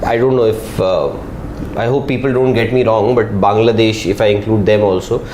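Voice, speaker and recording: A man, a panelist on a live cricket talk show, talking in a halting, rambling way that hedges his points. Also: lowish voice, fairly even intonation, a little mellow, echoey room, a little hiss or hum. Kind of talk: live studio panel discussion.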